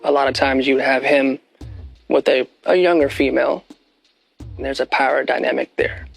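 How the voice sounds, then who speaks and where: Male interviewee, gravelly fry, measured and halting, clear music bed behind. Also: mid-range voice, level tone. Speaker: interviewee, former executive assistant. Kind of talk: documentary interview.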